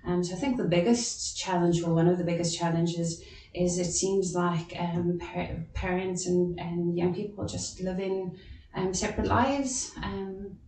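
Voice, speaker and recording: A middle-aged woman from South Africa with a South African accent, a social worker speaking thoughtfully with many pauses on a podcast panel. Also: fairly even intonation, warm tone. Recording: echoey room with faint rumble.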